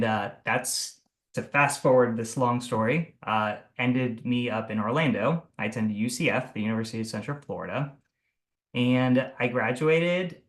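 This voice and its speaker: A man's voice: medium pitch, fairly bright tone. A man in his thirties from Italy, a real estate agent telling his life story in a casual video call interview, rambling and laughing as he goes. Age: 30s. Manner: rambling, self-correcting, laughs often.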